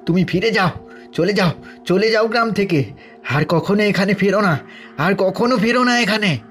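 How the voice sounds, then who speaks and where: An actor playing an old, grieving, suspicious village man in an audio horror drama, halting and insistent, repeating phrases for emphasis. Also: high voice, fairly bright tone, faint music behind. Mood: urgent warning. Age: older.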